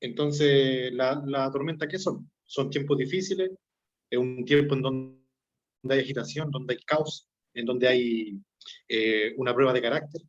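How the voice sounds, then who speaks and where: Halting Chilean man, slightly muffled sound. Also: medium pitch. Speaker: guest speaker on a marriage radio segment. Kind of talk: conversational explaining.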